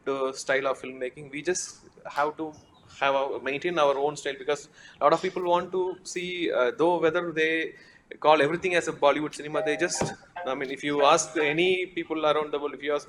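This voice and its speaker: Halting man on a film panel. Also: a little high, fairly bright tone, slight background noise, rough recording. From India. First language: Tamil. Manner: halting, many fillers and restarts. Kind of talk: panel discussion.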